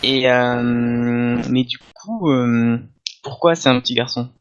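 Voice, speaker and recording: A man's voice: medium pitch, takes it slowly. Hesitant man, dull lo-fi sound. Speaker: podcast panelist. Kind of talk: casual chat.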